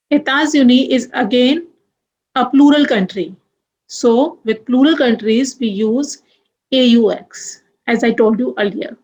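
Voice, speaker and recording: A young woman gives a grammar lesson at an unhurried, measured pace. Her voice sits a little high, and she spells out letters one by one. She explains each example patiently. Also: rough recording. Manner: slow, deliberate, spells out letters. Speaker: French teacher.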